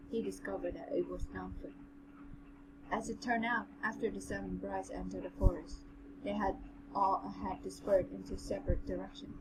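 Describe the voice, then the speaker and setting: Woman reading aloud, noisy recording. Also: medium pitch. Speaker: co-host reading a novel aloud. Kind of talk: story reading with casual commentary. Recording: clearly noisy amateur home recording.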